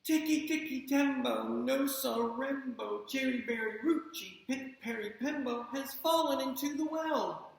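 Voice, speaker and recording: A woman's voice: high voice, unhurried, gravelly, voice pushed up. A woman voicing a small frantic boy who pleads breathlessly and stumbles over a long name. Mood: breathless pleading. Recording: echoey room.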